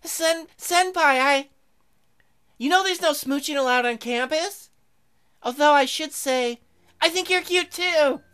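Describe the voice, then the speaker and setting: A woman's voice: a little slow, pauses often, a bit bright. A woman voicing a sweet, eager, easily flustered schoolgirl class president in a visual novel, with a girlish voice. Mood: bashful. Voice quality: high, girlish.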